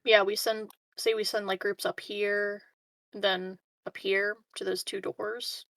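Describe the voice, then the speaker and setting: A woman's voice: medium pitch, level tone, slow, fairly bright tone, no echo. A woman chatting casually as a player in a roleplaying game. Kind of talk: casual tabletop game chat.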